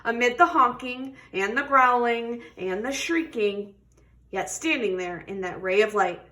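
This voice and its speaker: A woman's voice: on the high side, measured pace, pushed and bright. A woman reading a children's picture book aloud expressively, stretching words for emphasis and putting questions to young listeners. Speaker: read-aloud storybook reader.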